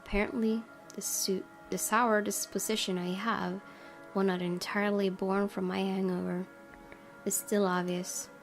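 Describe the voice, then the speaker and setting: A woman game streamer reading a visual novel aloud as expressive narration. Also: mid-range voice, measured pace, soft background music.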